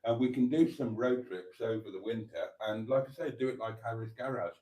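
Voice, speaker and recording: A man's voice: mid-range voice, a little fast, dark tone, poor audio. A British man in his fifties chatting casually on a live stream, rambling with frequent ums and calling his viewers darlings. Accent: British English. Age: 50s. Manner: chatty and self-deprecating, calls viewers darlings. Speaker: vlogger host.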